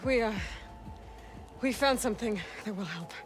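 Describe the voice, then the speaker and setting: A woman's voice: a little high, normal speed, close to an everyday voice. An actress playing a frank, resolute young heroine in a video game, direct and earnest in her delivery. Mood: firm, earnest. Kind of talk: naturalistic video game cutscene acting. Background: clear cinematic music.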